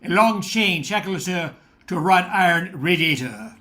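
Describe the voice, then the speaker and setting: A man's voice: sits high, lively intonation, moderate pace, sharp tone. A man playing the formal, theatrical host and narrator of an old-time style radio play. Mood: theatrical, inviting.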